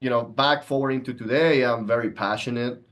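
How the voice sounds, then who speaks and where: A man at a medium pitch. He talks conversationally as an interview guest, mixing explanation with personal storytelling. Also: steady tone, a little fast, a bit bright. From Venezuela. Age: 30s. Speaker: podcast guest, entrepreneur and coach.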